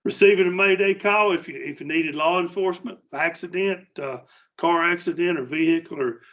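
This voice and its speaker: A middle-aged man giving an informal workplace safety training talk in a plainspoken, halting, folksy manner. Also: sits high, fairly bright tone, muffled recording.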